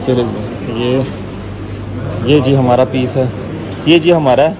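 A man's voice: medium pitch, takes it slowly, crowd noise, low-quality recording. A man in his thirties vlogging inside a bakery, chatting as he shows items and reads out prices. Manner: chatty, showing and pricing items. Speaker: food vlogger. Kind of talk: casual food vlog walkthrough. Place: bakery shop indoors. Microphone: phone camera, handheld selfie framing. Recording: loud music behind the voice.

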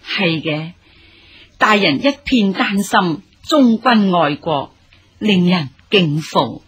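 An elderly, respectful mother in a period radio drama. She is low-pitched, very husky and slow. Her Hong Kong Cantonese is formal and humbly deferential. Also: expressive, exaggerated voice. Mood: respectful, reassuring.

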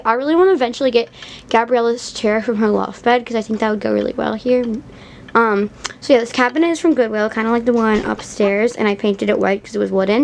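Young American girl, higher-pitched, chatty. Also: darkish tone, a little hiss or hum. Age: young, child or teenager. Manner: chatty, informal, frequent "like". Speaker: doll vlogger giving a house tour. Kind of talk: casual home vlog narration. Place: indoor room at home, behind the camera.

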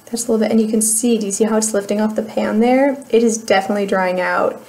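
A woman's voice: mid-range voice, steady tone, quick pace, darkish tone. A young woman with an American Midwest accent chatting casually in a beauty vlog, rambling and laughing at herself, recorded on a webcam in a small room at home. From Midwest United States. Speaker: beauty vlogger. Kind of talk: casual chatty product update.

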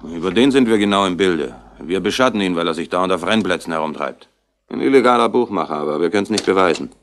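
An actor playing a matter-of-fact junior detective in a radio crime drama, blunt and faintly disdainful. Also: male voice, deep voice, lively intonation, fast. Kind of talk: 1960s German radio crime drama acting.

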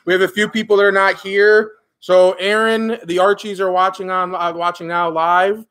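A man runs a casual card break with friends gathered around a table, calling each name in turn and cracking jokes. His voice sits a touch high and comes across bright and forceful. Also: flat delivery, moderate pace, smooth. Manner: calls out names, joking. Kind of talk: casual group chat.

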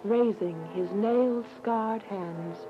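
A woman's voice: mid-range voice, very slow, noisy background. A woman playing a devout, earnest young believer, narrating with emotion in a radio drama. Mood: hushed, reverent. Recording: muffled audio with music bed.

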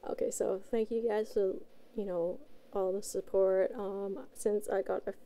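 A woman's voice: medium pitch, level tone, unhurried, warm tone. A woman hosting her own audio channel, chatting casually to listeners in a rambling, informal, self-effacing way. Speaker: amateur voice actor, channel host.

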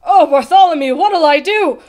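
A woman voicing a blustery, excitable male royal trumpeter in a storybook, loud and exclamatory. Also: on the high side, unhurried. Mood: wailing. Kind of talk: storybook character voice.

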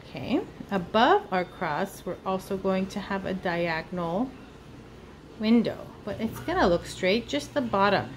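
A woman's voice: medium pitch, lively intonation, measured pace, a little hiss or hum. A woman giving an informal step-by-step drawing lesson for children, thinking aloud as she works. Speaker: art teacher. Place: indoors at a table.

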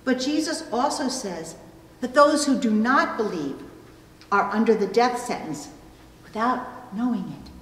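A middle-aged woman pastor preaching a sermon, phrasing deliberately and pausing for emphasis. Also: on the high side, lively intonation, a bit bright, a little hiss or hum. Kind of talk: sermon with illustrative stories. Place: church pulpit indoors, recorded from a distance. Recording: echoey hall, long reverb.

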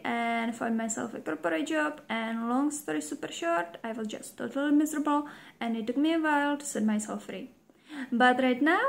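A woman's voice: high-pitched, gravelly. A young Czech woman hosting her own show, speaking English as a second language in an upbeat, playful intro to camera. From Czech Republic. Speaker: show host. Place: home room, indoors.

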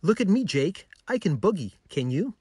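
Male reader as pet dog, children's storybook, loving, loyal, earnest, polite, raised pitch. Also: big pitch swings, normal speed, dark tone, husky, no echo, studio quality, exaggerated voice. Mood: playful, excited.

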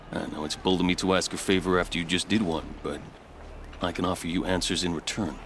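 A calm military captain in a video game. His voice is very low, and he talks in a measured, courteous, matter-of-fact way over faint outdoor noise. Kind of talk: video game dialogue acting.

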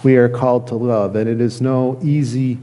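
A middle-aged man, a pastor, preaching a conversational sermon in an earnest, halting way with repeated phrases. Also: medium pitch, little pitch movement, dark tone. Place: church sanctuary, at a lectern indoors.

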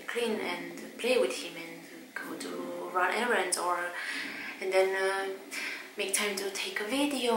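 A woman's voice: medium pitch, vocal fry, roomy sound, background noise. A woman in her thirties with a Romanian accent, talking hesitantly to the camera at home with many fillers and restarts. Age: 30s. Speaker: vlogger. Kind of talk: casual talk to camera. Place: bedroom at home, indoors.

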